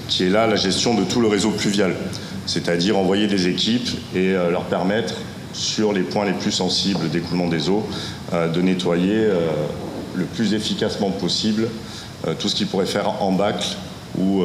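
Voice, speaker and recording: A man giving a semi-improvised technical presentation as a local official, with halting delivery, frequent hesitations and self-corrections. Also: low voice. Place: conference room at a technical seminar. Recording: echoey room, distant ambient noise.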